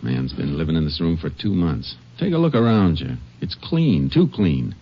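An actor playing a calm, shrewd Texas Ranger in a 1950s radio western, speaking in a terse, matter-of-fact way. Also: male voice, a bass voice, moderate pace, breathy edge, full character voice. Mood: shrewd, businesslike. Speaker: lead actor and narrator. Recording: dull vintage 1950s radio recording.

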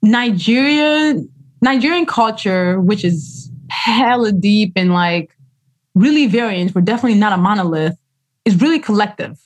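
A woman author talks through a casual interview at a mid pitch. She is expressive, acting out what other people said in their own voices and dropping in slang. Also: normal speed. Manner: expressive storyteller who acts out quoted voices, uses slang. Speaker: author guest.

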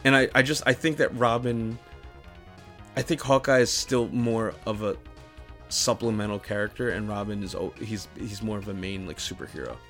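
A man co-hosting a podcast in casual, slangy banter, debating in an animated way. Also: on the low side, moderate pace, soft background music. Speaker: podcast co-host.

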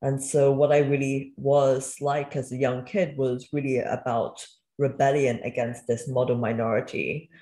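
A woman in her thirties, raised in Wales after a childhood move from China, recounting her upbringing in a relaxed, reflective interview manner with frequent "um"s. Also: very deep voice, moderate pace. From born in China, raised in Wales. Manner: reflective, candid, uses fillers like um and you know. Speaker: guest, entrepreneur. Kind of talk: conversational interview storytelling. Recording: echoey room.

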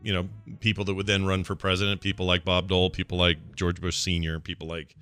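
A man co-hosting a casual political chat podcast. He talks in a relaxed, conversational way and trails off into a low mutter. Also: fast, faint music behind. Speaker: podcast co-host.

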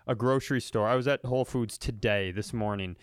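A man hosting a comedy podcast, telling stories in casual banter with animated, profane, exaggerated incredulity. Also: mid-range voice, fairly quick, smooth, no room sound.

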